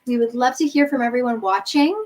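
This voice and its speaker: Woman hosting a live show, kinda high voice, gushy, reads the chat out loud. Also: brisk. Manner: effusive, gushing praise; reads viewer comments aloud. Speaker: host. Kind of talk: livestream talk show chat.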